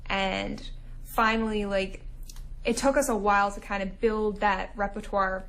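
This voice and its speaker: A woman's voice: mid-range voice, steady tone, a little slow, a bit bright. A young woman who co-hosts a radio show, chatting as a guest in a friendly, rambling way. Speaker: campus radio show co-host. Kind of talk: casual interview chat.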